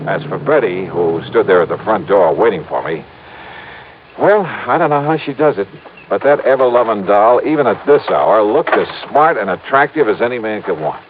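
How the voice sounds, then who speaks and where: An American actor playing a wry, flirtatious insurance investigator in a 1950s radio detective drama, with slangy, breezy delivery. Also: male voice, fairly low pitch, lively intonation, moderate pace, close to an everyday voice. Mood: breezy narration. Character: wisecracking, flirtatious male freelance insurance investigator. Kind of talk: 1950s radio detective acting, first-person narration. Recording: muffled, noisy old-time radio recording.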